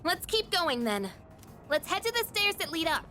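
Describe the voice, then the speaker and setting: A woman's voice: very high voice, very animated, normal speed, pushed and bright, soft background music, exaggerated voice. A woman voicing a spirited, protective teenage girl fighter in an anime video game, forthright and emotional. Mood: upbeat.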